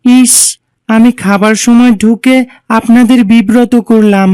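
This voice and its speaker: Considerate cartoon schoolboy, played by a woman: fairly high, smooth clear voice, modest and courteous. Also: fairly even intonation, measured pace, dark tone, dry recording, natural voice. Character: polite, considerate schoolboy friend. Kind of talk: Islamic cartoon dialogue.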